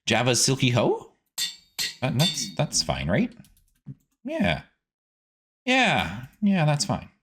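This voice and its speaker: A man giving casual gameplay commentary as a streaming host, chatty and animated, rambling as he goes. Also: mid-range voice, slow, pauses often, background noise.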